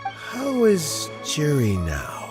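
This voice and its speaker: A man playing a dignified elderly statesman in a video game, gravelly, formal and thoughtful. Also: fairly high pitch, huge pitch swings, music in the background, full character voice. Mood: concerned. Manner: measured, formal, reflective.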